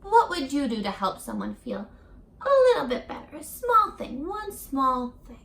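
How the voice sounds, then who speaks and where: A woman doing an animated read-aloud for young children as a teacher, gentle and encouraging, pausing to put questions directly to the listener. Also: sits high, gravelly, roomy sound. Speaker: teacher reading a picture book aloud to children.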